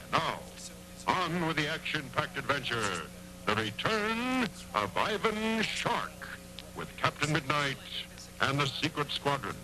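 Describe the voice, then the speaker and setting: A man announcing a 1940s children's radio adventure serial in a dramatic, booming style, heard from an old broadcast recording. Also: bright, forceful tone, a little hiss or hum, rough recording.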